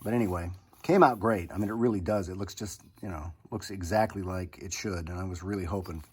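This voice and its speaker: A man's voice: low-pitched, a little fast, slightly rough. A man talking informally through a hands-on restoration project, folksy and thinking aloud as he goes. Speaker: hobbyist restorer presenting own project. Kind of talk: casual hands-on restoration walkthrough.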